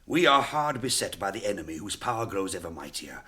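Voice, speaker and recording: A man's voice: deep voice, big pitch swings, brisk, pushed and bright, heavily acted. An actor playing a proud, embattled warrior nobleman, declaiming emphatically in a radio drama. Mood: proud, urgent.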